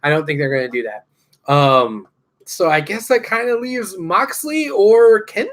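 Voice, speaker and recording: A man's voice: on the high side, very animated, normal speed, a bit bright. A man with an American accent co-hosting a wrestling podcast, hesitantly thinking aloud in a casual, sweary chat.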